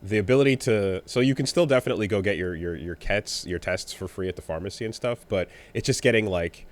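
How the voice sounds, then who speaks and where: A man co-hosting a podcast in casual chat, rambling and halting with many restarts, a bit winded after being sick. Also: fairly low pitch. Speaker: podcast co-host.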